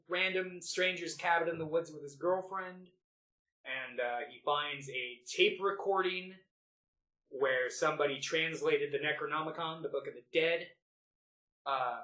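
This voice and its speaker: A man's voice: a little high, sharp tone. A man co-hosting a movie podcast, chatting casually and enthusiastically in a rambling, sweary way. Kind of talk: casual chat about favorite films. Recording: roomy, echoey home podcast recording.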